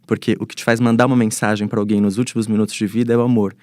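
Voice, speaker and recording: A Brazilian man speaks at a quick clip in a dry, close recording. He answers as an expert guest, laying out his reasoning step by step in an articulate, reflective way. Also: lowish voice, flat delivery, darkish tone, no room sound. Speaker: psychoanalysis expert, panel guest. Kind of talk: explanatory panel interview answers.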